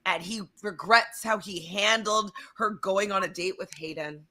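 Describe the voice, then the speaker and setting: A woman hosting a casual recap chat, her voice bright and a little raspy. She rambles easily, reading out viewer comments as she goes. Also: medium pitch, unhurried. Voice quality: slightly raspy voice right now. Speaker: podcast co-host.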